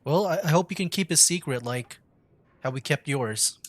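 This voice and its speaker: A man's voice: fairly high pitch, expressive, moderate pace. A man giving casual live commentary as he plays a game, reacting in a hesitant, muttering way. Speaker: streamer, VTuber playing a game.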